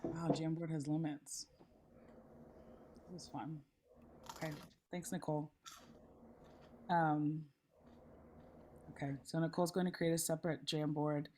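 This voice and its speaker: A woman facilitating an online meeting, a little hoarse, friendly and chatty with frequent ums, speaking through a laptop mic on a video call. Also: deep voice, little pitch movement, a little slow, pauses often, mellow, slight background noise. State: slightly hoarse. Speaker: session facilitator. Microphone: laptop mic, then headphones.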